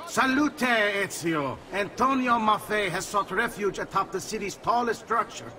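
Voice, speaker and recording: An actor playing a brisk male informant giving a briefing in a dramatic video game cutscene. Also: high voice, sharp tone, a little hiss or hum.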